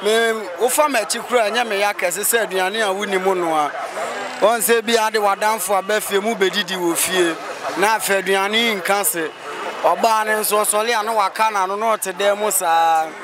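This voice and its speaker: A man's voice: high-pitched, fairly even intonation, moderate pace, music in the background. A man with a Ghanaian accent giving his opinions casually in a street interview. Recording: street recording with crowd and traffic.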